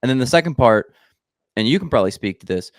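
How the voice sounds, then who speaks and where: A man hosting a podcast interview, chatting in a relaxed, joking way and saying like a lot, into a big studio mic with headphones on. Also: medium pitch, fast, no echo. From United States. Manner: joking, relaxed, heavy use of like. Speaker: podcast host. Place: indoor home studio. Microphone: headphones and large studio mic.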